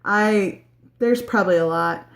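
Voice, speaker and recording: A woman's voice: mid-range voice, a little slow. A woman in her late thirties chatting casually through a get-to-know-me vlog, rambling with many ums and likes. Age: 30s. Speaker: vlogger. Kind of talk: casual chat answering a tag video.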